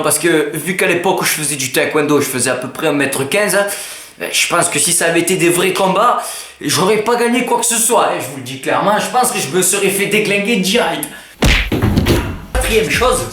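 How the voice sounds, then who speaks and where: A man's voice: on the high side, echoey room, a little hiss or hum. Joking teenage boy with a Southern French accent. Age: teens. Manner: joking, self-mocking, lively banter. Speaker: YouTuber. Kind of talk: casual vlog to camera.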